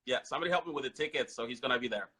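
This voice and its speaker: A man's voice: mid-range voice, rapid-fire, sharp tone, slightly rough. A man from Mexico, hosting a casual talk show, chatty and prompting his guest with questions. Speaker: host.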